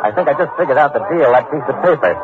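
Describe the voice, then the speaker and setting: An actor playing a wry, colloquial American undercover investigator, narrating and acting in an old radio drama. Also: male voice, mid-range voice, lively intonation, rapid-fire, lightly acted. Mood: excited. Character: wry, hard-boiled undercover investigator narrating his case. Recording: tinny old radio recording with music.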